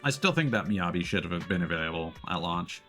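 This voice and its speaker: A man with a fairly low voice talks casually as he streams a game. He rambles and hesitates, working through his thoughts aloud, with music playing behind him. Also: animated, a little fast, pushed and bright. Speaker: streamer, VTuber.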